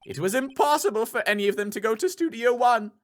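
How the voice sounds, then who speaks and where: A man's voice: extremely high pitch, lively intonation, quick pace, pitched above the performer's own voice. A man voicing a haughty, smug prosecutor in a courtroom video game, formal and taunting. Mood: smug, forceful.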